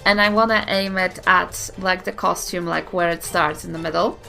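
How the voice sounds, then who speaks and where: Tabletop player, woman. Noticeable vocal fry, casual and a bit hesitant, soft music bed. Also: lowish voice, fairly even intonation, normal speed. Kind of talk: casual game chat. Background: faint background music.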